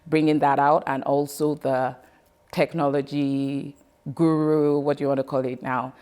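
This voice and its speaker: A woman's voice: sits low, level tone, normal speed, dark tone. A woman who runs a photography business, answering interview questions and stopping to search for words.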